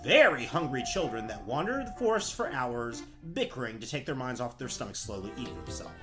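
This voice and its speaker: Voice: medium pitch, big pitch swings, brisk, music in the background. A storyteller giving wry, theatrical scripted narration for a comedic fairy tale.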